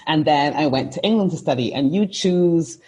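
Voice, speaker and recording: A woman in her thirties from Barbados, a podcast guest talking in a thoughtful, unhurried way. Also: sits low, animated, darkish tone, husky. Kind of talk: casual conversation.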